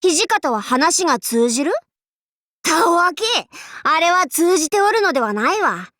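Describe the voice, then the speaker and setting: A high, very animated young warlord girl for an anime game, recorded close and dry. She speaks in grand archaic lordly phrases, imperious and boastful, yet slips readily into playful clowning. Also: unhurried, no room sound, heavily acted. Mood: breezy, teasing. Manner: archaic lordly speech, haughty, abruptly switching to goofy. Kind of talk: Japanese anime game character voice lines.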